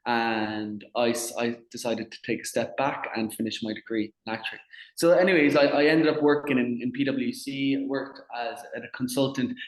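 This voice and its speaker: A man's voice: medium pitch, echoey room, poor audio. A young man from Ireland, a guest in a casual interview, who talks in a chatty way and often restarts and repeats words.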